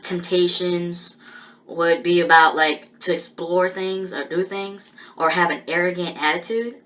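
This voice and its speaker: A woman talking through a personal monologue in a halting, rambling way, with many pauses and restarts. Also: fairly low pitch, fairly even intonation, a bit bright, poor audio.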